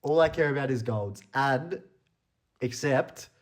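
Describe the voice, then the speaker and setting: A man's voice: mid-range voice, unhurried. Playful, laughing young man. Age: young adult. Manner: laughing, playful, tells stories and swears. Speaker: podcast co-host. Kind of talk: casual chat between friends.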